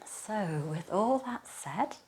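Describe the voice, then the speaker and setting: A woman speaking as a yoga teacher, guiding a relaxation practice in a soothing, gentle, unhurried manner with pauses. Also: medium pitch, animated, slight background noise. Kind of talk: guided relaxation, yoga nidra instruction.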